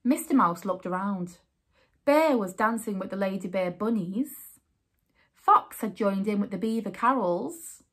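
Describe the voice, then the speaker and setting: A woman's voice: medium pitch, animated, measured pace, a bit bright. A woman in her thirties hosting storytime, reading aloud to young children in a sing-song way.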